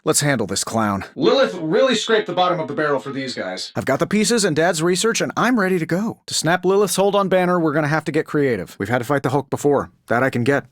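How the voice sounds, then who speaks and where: Wisecracking billionaire inventor superhero, American accent, highish animated voice, sarcastic, glib, video game banter. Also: male voice, fast, hardly any pauses, no echo, clean studio sound. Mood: cocky, taunting.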